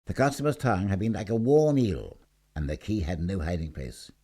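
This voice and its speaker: A man's voice: low voice, lively intonation, a little fast, mellow, slightly rough. A man reading a scripted short story aloud in a theatrical, emphatic storytelling manner. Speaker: story reader.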